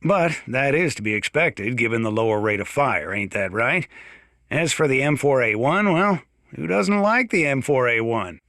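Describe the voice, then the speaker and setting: A man's voice: medium pitch, animated, normal speed, a bit bright, dry recording, studio quality. A man playing a folksy, gun-loving small-town sheriff in a video game, chatting boastfully in a conversational radio-style monologue. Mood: folksy, proud.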